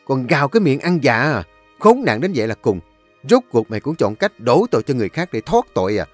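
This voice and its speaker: A man voicing a furious young master of a rich household who yells curses. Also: mid-range voice, big pitch swings, fast talker, no room sound, soft background music. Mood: enraged. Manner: yelling, cursing.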